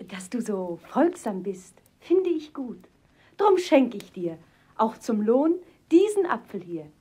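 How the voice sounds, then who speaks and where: A woman playing a wicked, scheming queen disguised as a peasant woman in a fairy-tale audio play, coaxing and wheedling in a husky voice. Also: a little high, sing-song, takes it slowly, mellow, full character voice.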